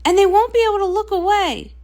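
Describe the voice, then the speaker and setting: A woman's voice: very high-pitched, animated, fast talker, a little mellow, voice pushed up. A woman voicing a perky, vain young pony princess who sings pop, with a gushing, theatrical manner in a storybook read-along. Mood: boastful.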